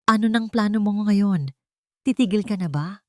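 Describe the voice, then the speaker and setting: A woman's voice: medium pitch, moderate pace, no echo, studio quality, voice pushed up, natural voice. Worried, protective best friend acted by a woman narrator. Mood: alarmed, urging. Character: worried female bake shop friend, anxious and protective.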